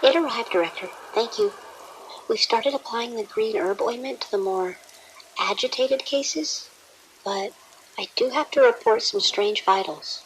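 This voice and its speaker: An actress playing a dutiful, uneasy nurse in an audio drama, reporting politely and hesitantly. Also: female voice, fairly high pitch, sing-song, a little slow, slight background noise, poor audio.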